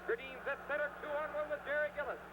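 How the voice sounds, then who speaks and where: High-pitched man calling hockey on radio. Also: fast talker. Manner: excitable, rising on scoring chances. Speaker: play-by-play hockey commentator. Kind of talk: live radio sports commentary. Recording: noisy vintage 1970s radio broadcast.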